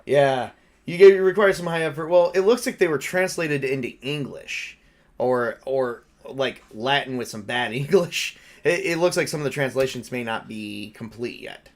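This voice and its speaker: A man with an average-pitched voice acts as game master for a tabletop role-playing game. He narrates scenes and talks through rules in a casual, conversational style. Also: moderate pace.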